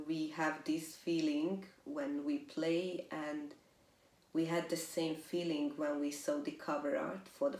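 A young Hungarian-accented woman answers interview questions slowly and thoughtfully, her voice sitting a little low. She sounds set back from the camera in a room with a noticeable echo. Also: a little mellow. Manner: slow, thoughtful, measured. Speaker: band lyricist. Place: living room on a leather sofa. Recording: echoey room, mic at a distance.

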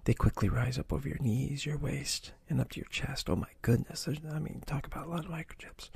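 A man reading an adventure gamebook aloud in a soft, breathy ASMR whisper, stopping to choose pages. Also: medium pitch, brisk, dry recording. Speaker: reader of a choose-your-own-adventure book.

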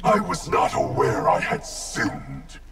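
A man voicing a cold, arrogant wraith ninja clan leader in a video game, gravelly, haughty and threatening. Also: low-pitched, wildly swooping pitch, slow pace, a loud crowd, heavily acted. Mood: menacing disdain. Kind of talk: video game character dialogue. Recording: music behind the voice.

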